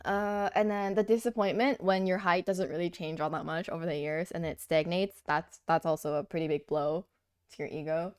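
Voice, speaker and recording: A woman's voice: lowish voice, steady tone, normal speed, smooth. A young woman co-hosting a podcast, talking candidly and casually with lots of "like" and laughter. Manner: candid, laughing often, filler "like". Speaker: podcast co-host. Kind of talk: casual chat.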